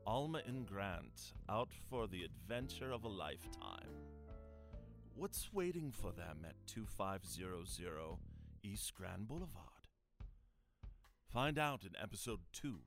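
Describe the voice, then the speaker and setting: A suave male suspense host with a low, creaky voice. He is formal, droll and theatrical, signing off a radio play over prominent music. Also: very animated, a little slow, heavily acted. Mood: droll, ominous. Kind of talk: audio drama episode outro.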